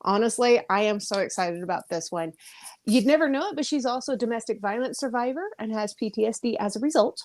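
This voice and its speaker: A woman's voice: a little high, fast talker, darkish tone. A woman hosting a podcast interview, enthusiastic and bubbly, laughing often. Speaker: podcast host. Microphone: audio-only call.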